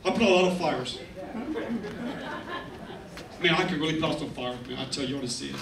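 A man's voice: fairly high pitch, brisk, vocal fry, a crowd in the background, noisy background. A middle-aged male pastor preaching a sermon in a conversational, emphatic way, with asides to the congregation, on a church stage with a handheld mic. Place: church stage, medium hall, indoors. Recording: echoey room, camera set far back.

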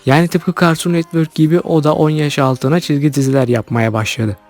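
Higher-pitched man narrating commentary over music. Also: fairly even intonation, a little fast, a little mellow. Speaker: YouTube host commenting on cartoons. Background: background music.

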